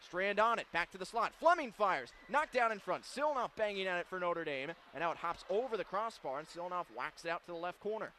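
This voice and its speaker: A man calling hockey on radio in a high, bright, forceful voice. He rattles off quick, clipped calls of every pass and shot and bursts into shouted goal calls, with faint noise in the background. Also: lively intonation. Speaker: play-by-play announcer.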